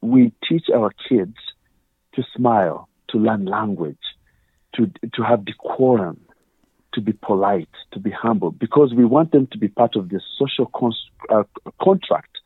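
A man's voice: lowish voice, measured pace, slightly rough, dry recording, phone-quality sound. A man with a Ugandan accent, a guest earnestly explaining his views with examples and quoted questions. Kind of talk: conversational interview.

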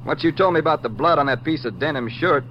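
Man playing a folksy, higher-pitched cowboy sidekick. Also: fast, highs cut off, slight background noise, natural voice. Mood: curious. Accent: American. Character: folksy, good-natured cowboy lawman, the Ranger's eager partner. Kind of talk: 1950s radio western acting.

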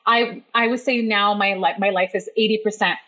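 A woman's voice: medium pitch, steady tone, moderate pace, pushed and bright. Bubbly woman guest on muffled audio. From Iran, raised in Canada. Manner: bubbly, talkative. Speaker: guest, life coach. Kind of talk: podcast interview.

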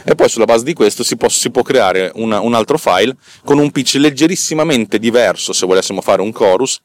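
A man's voice: mid-range voice, animated, brisk, a little hiss or hum. A man hosting a podcast, giving informal technical explanations in a hesitant, self-correcting, colloquial way. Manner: colloquial, self-deprecating, mildly profane. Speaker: podcast host.

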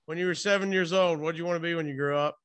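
A man doing a casual, playful interview as the host, chatty and prompting with quick follow-ups. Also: on the high side, steady tone, a bit bright, smooth.

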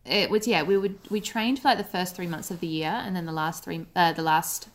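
A woman's voice: fairly low pitch, fairly even intonation, brisk, slight background noise. A woman chatting as a radio guest in an easy, rambling way. She often mocks herself and breaks into laughter. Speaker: guest, comedy writer and performer. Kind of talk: casual chat.

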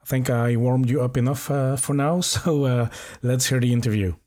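Mellow-voiced man hosting a podcast. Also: mid-range voice, normal speed. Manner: hesitant, many filler sounds and restarts. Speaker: podcast host. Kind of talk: casual interview hosting.

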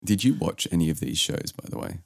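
A man hosting a casual music podcast who is sick with the flu, which has lowered his voice. He talks in a laid-back, rambling way with hesitations. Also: animated, fairly quick, gravelly, no echo, clean studio sound. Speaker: podcast host.

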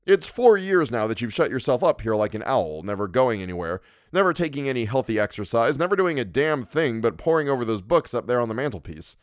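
A man's voice: medium pitch, big pitch swings, a little fast, hardly any pauses, no room sound, studio quality, pitched above the performer's own voice, close to an everyday voice. A man voicing a jaunty young male cavalry officer in an audiobook, with a brash, teasing, breezy manner.

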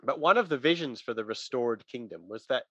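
A man's voice: medium pitch, expressive, a little fast, a bit bright, breathy edge, dry recording, dull audio. A man talking through religious ideas in a casual, conversational but emphatic teaching manner.

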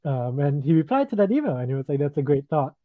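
A man's voice: fairly high pitch, very animated, fast, dry recording. A young man from Singapore chats casually as a podcast guest, with lots of fillers and easy laughs. He comes through a thin, phone-like remote line that sounds dry and close. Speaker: guest, digital freelancer. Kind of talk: casual interview chat.

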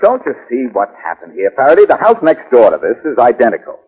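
An actor playing a brash, glib amateur detective under suspicion, in 1940s radio drama style. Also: male voice, medium pitch, huge pitch swings, fast, over loud music. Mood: puzzled, insistent. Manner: wry, glib, wisecracking. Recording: muffled old 1940s radio recording.

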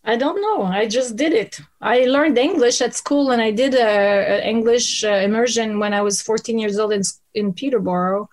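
A woman with a French Canadian accent, a former TV host, telling stories in an interview, lively and laughing often. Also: a little high. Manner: lively, laughing, quick bursts of speech. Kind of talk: storytelling interview.

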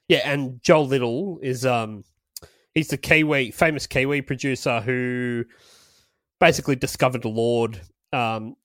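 A man's voice: medium pitch, fairly bright tone, no echo, clean studio sound. A man co-hosting a podcast in casual chat, swearing freely and laughing often. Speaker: podcast co-host.

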